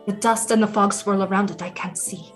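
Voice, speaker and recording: In a roomy, echoing space, a woman plays a proud Renaissance queen who is scheming yet lovelorn. Her speech is formal and theatrical, as in a radio play, with music softly behind. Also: mid-range voice, steady tone, a little fast, natural voice. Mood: tense, watchful. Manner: haughty, formal, archaic diction. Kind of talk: theatrical audio drama acting.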